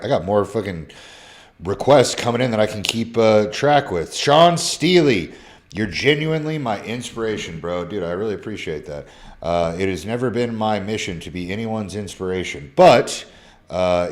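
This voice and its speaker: A man in his thirties who swears freely and talks in loose slang, reading fan messages aloud on a casual live stream from his phone. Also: sits low. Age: 30s. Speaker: social media creator.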